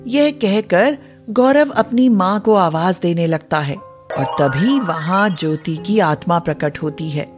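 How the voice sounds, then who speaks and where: Slow-paced woman narrating a story. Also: fairly low pitch, animated, over music.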